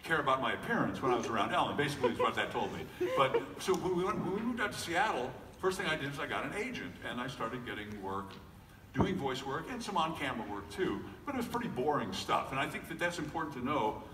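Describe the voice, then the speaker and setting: A middle-aged man, a voice actor, talking casually at a convention panel in a joking, self-deprecating way with lots of fillers and restarts. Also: medium pitch. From United States. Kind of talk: casual panel talk to an audience. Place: large convention hall. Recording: echoey hall recording from far off, faint noise.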